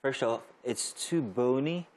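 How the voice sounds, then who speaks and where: A man's voice: medium pitch, slow, a little mellow, slight background noise. A man from Nigeria co-hosting a breakfast TV show, joking and teasing his co-host, with light music playing. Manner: jokey, teasing. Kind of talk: playful breakfast TV banter. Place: TV studio.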